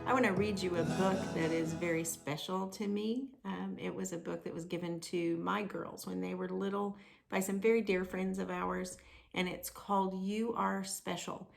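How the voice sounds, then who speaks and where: A woman reading aloud to children in an expressive storytelling style, with deliberate emphasis on simple phrases. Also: fairly low pitch, creaky, soft background music.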